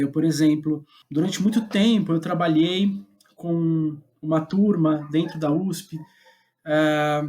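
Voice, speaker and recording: A man with a Brazilian Portuguese accent speaking as an interview guest, casual and reflective, halting as he thinks aloud. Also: a little high, darkish tone. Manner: hesitant, halting, thinking aloud with repeated words. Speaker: podcast guest, playwright.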